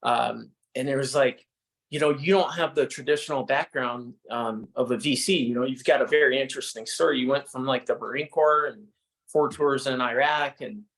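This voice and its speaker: A man's voice: medium pitch, steady tone, moderate pace, a bit bright, poor audio. A man who works as a venture capitalist, giving casual, filler-heavy advice to founders. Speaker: venture capitalist, panel speaker.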